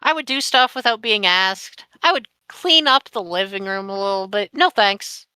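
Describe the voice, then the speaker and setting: A nineteen-year-old woman telling a painful personal story on a podcast, candid and sweary with heavy pauses. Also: medium pitch, pushed and bright, smooth, dry recording. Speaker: podcast guest.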